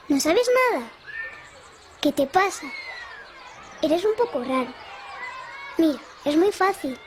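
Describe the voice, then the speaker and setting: A woman's voice: high voice, a little hiss or hum. A woman playing a chatty, imaginative, kind-hearted little schoolgirl in a cartoon, with a Castilian Spanish accent and an eager, playful manner. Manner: playful, bubbly, talking in eager questions. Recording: loud music behind the voice.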